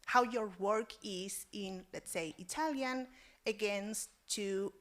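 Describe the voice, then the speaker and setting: A woman's voice: mid-range voice, slow pace, a bit bright. A woman in the audience asking a question hesitantly, searching for words.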